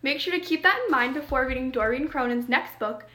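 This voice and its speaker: High-pitched, expressive woman reading to children. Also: a little fast. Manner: expressive, addresses young listeners with questions. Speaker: storybook reader. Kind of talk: children's read-aloud narration. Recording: echoey room.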